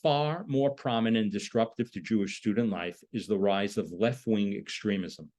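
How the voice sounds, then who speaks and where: A man's voice: fairly low pitch, moderate pace, a little mellow. A man lecturing in an explanatory, emphatic manner, posing rhetorical questions as he goes. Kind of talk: lecture on a political topic.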